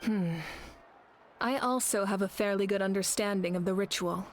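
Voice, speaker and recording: A woman playing a calm, dignified and authoritative female leader in an anime game. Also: mid-range voice, moderate pace, slight background noise, lightly acted. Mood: measured, serious. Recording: faint background ambience.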